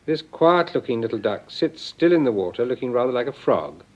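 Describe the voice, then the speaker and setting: A man giving measured, explanatory scripted narration for an educational record, heard on a vintage recording. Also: medium pitch, big pitch swings. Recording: dull, band-limited vintage record.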